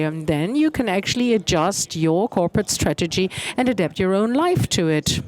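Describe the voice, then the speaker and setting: A woman interpreting a conference keynote, measured and pausing often with hesitation fillers. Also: mid-range voice, a little mellow.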